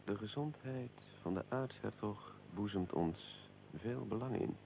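An actor playing a suave, evasive Russian military attaché in a radio drama, unctuously polite and deliberate. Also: male voice, lowish voice, creaky, warm tone, a little hiss or hum, rough recording. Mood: guarded.

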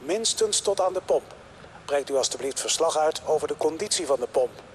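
An actor playing an impassive recycling dispatcher who gives formal, procedural orders in a radio drama. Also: male voice, a little high, expressive, fairly quick, noisy background, lightly acted. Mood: detached.

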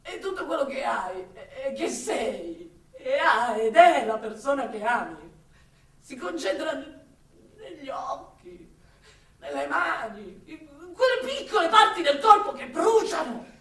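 An actress playing an impassioned woman torn between love and exasperation for her city, in a declamatory radio monologue with drawn-out, repeated words. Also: high-pitched, very animated, a bit bright, full character voice. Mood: passionate, urgent. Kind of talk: dramatic theatrical radio monologue. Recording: roomy echo, distant from the mic.

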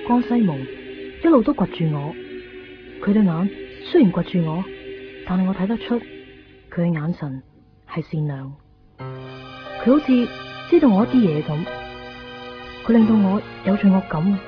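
Actress as troubled young woman, Hong Kong Cantonese accent, soft-spoken, introspective radio drama delivery, dull 1990s recording, music behind. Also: mid-range voice, animated, normal speed, pauses often, lightly acted. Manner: hesitant, subdued, introspective.

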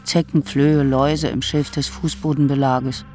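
An actor narrating a medieval tale in a sober, reflective way for a radio drama. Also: male voice, medium pitch, little pitch movement, moderate pace, darkish tone, faint music behind, natural voice.

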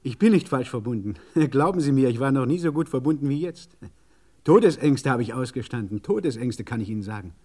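A man's voice: medium pitch, lively intonation, a little fast, dark tone, lightly acted. Guy acting worked up car mechanic on the phone, old radio play style, insistent, voice jumps all over. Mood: relieved, excited. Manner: urgent, insistent, muttering to himself in asides. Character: agitated French car mechanic on an urgent phone call.

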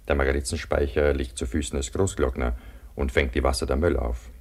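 An actor playing a sober, sceptical cable-car worker recalling an avalanche disaster in a German radio play. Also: male voice, a bass voice, darkish tone. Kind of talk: German radio play acting and first-person narration.

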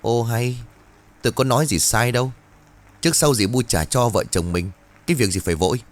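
A man voicing a taciturn, stubborn husband who answers calmly and unhurriedly. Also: mid-range voice, brisk, lightly acted. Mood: defensive.

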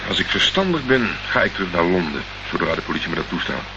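A man's voice: low-pitched, big pitch swings, fast talker. An actor playing a wry, probing investigator in a radio crime play, polite but pointed in his questions. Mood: determined. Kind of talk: Dutch radio play acting. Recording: dull, noisy old radio play recording.

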